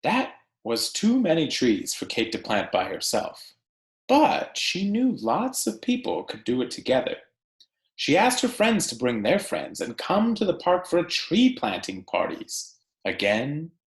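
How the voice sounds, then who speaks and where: Slow, animated man reading aloud, roomy sound. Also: a little high. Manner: deliberate, emphatic phrasing with rhetorical questions for young listeners. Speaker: teacher reading a picture book aloud to students. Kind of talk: expressive read-aloud with conversational asides.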